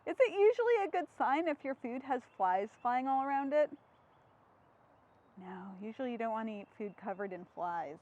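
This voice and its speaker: A woman's voice: on the high side, sing-song, moderate pace, a little mellow, lo-fi sound. A woman hosting an interactive storytime for young children, asking simple questions and answering them.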